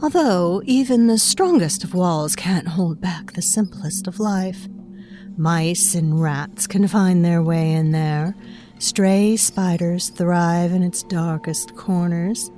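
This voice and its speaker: A woman playing an ancient, otherworldly female storyteller in a dark fantasy audio drama, knowing and faintly scornful, confiding in the listener with deliberate delivery. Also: lowish voice, faint music behind. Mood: ominous, hushed. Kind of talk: scripted dark fantasy audio fiction narration.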